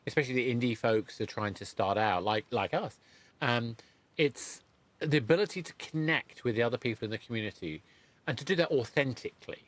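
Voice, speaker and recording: A man with a mid-pitched voice hosts a conversational podcast interview. He speaks in a halting, searching way, often rewording his point midway through. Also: a bit bright. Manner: halting, rephrases as he goes. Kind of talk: casual interview chat.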